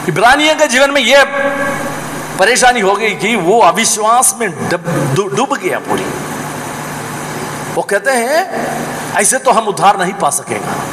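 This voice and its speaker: Guy preaching, high voice, gets loud then drops quiet, echoey hall. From South India. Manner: swings between loud exhortation and low, quiet asides; imitates doubters in small voices. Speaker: pastor. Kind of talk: Hindi sermon. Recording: echoey hall with background noise.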